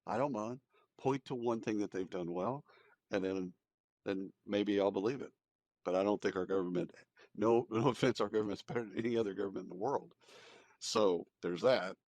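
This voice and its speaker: A man's voice: on the low side, normal speed, a little mellow, dry recording. An American man co-hosting a podcast, discussing news in a casual, opinionated, informal way and repeating phrases for emphasis. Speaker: podcast co-host.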